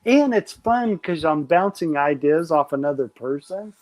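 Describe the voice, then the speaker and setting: A man's voice: a little high, lively intonation, unhurried. A man hosting a late-night live stream, chatting casually and rambling enthusiastically with many restarts. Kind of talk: casual chat.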